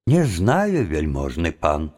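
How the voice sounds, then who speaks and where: An actor playing a wily, evasive young philosopher student in a theatrical audio drama, with a folksy, deferential, drawling delivery. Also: male voice, a bass voice, wildly swooping pitch, takes it slowly, a little mellow, heavily acted. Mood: evasive, respectful.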